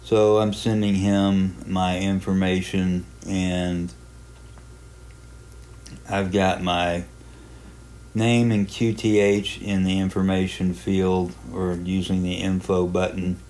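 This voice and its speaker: A man giving a casual, unhurried tutorial narration, explaining a hobby software program step by step in plain terms. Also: sits low, level tone. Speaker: amateur radio hobbyist presenting a software walkthrough.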